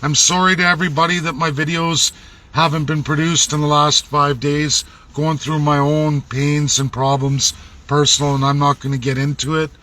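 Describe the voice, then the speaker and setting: Male political vlogger, Canadian accent, high forceful voice, emphatic and indignant, unscripted, swears freely. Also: flat delivery, moderate pace. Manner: blunt, indignant, emphatic, profane. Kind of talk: unscripted political rant to camera. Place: laundromat.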